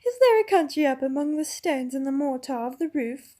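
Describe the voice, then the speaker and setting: Brief: soft, lulling witch queen with a high, honeyed voice, read by a woman. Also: expressive, fairly quick. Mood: coaxing. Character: enchanting witch queen, sweetly coaxing and mocking.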